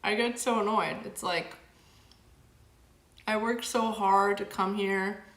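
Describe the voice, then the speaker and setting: A woman's voice: mid-range voice, steady tone, slow pace, a bit bright, roomy sound. A young woman hosting a casual livestream, reading chat aloud and rambling with lots of fillers. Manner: rambling, filler-heavy, frequent "like". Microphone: camera mic.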